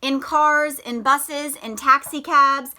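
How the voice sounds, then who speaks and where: Female schoolteacher for a children's read-aloud lesson; bright, clearly forward tone, slow and deliberate, expressive delivery. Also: high-pitched. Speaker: elementary school teacher.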